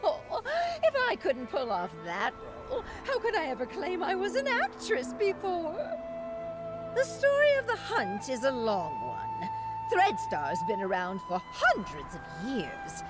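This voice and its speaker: An elegant former actress in a story game, speaks high and with wildly expressive melody. She is dramatic and sentimental, lilting like a storyteller. Music plays clearly behind her. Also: unhurried, exaggerated voice. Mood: theatrical, confiding. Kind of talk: video game story dialogue. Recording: background music under the voice.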